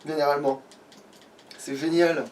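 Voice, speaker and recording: High-voiced man grumbling through gameplay, distant mic. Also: flat delivery, measured pace, darkish tone. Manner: grumbling, exasperated, rambling. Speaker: let's player. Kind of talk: casual gaming commentary. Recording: distant mic with faint background noise.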